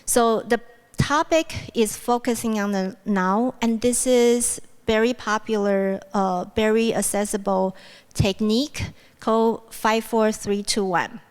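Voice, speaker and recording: A woman whose first language is Mandarin leads a guided grounding exercise at a podium microphone, speaking gently with many fillers. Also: on the high side, steady tone, clear, resonant tone. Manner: gentle, unhurried, with frequent fillers and restarts. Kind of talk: guided mindfulness exercise at a plenary. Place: university event room with a loud air-conditioning fan.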